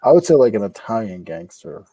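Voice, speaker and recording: A man's voice: on the low side, very animated, brisk, mellow, dry recording. A man from New England co-hosting a casual podcast hangout, chatty and jokey, often trailing off mid-sentence. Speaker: podcast co-host. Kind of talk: casual chat while listing items.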